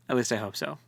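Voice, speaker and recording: Casual man co-hosting, dry close sound. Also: no room sound. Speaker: podcast co-host. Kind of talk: casual book discussion.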